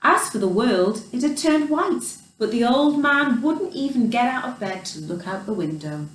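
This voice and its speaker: A woman reading a children's picture book expressively, in an animated storyteller's manner with chatty asides. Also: fairly high pitch, normal speed. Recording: roomy echo, distant microphone.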